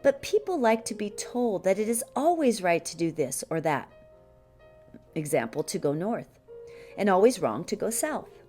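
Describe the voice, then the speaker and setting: A woman reading a spiritual teaching text as an audiobook, measured and deliberate, pausing often between short phrases. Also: medium pitch, very animated, warm tone. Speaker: audiobook reader. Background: music behind the voice.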